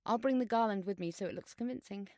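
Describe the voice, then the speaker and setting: A woman playing a sarcastic, grudging teenage schoolgirl in an audio drama, with deadpan wit. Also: medium pitch, fast, dry recording, studio quality, lightly acted. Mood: cooperative.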